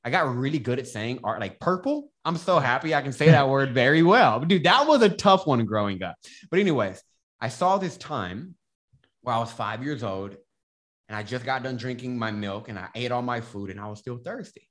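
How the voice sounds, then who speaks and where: A man with a slight lisp, a guest on a podcast, talking casually and animatedly, swinging from loud bursts to hushed storytelling. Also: medium pitch, lively intonation, moderate pace. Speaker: podcast guest, transformation coach.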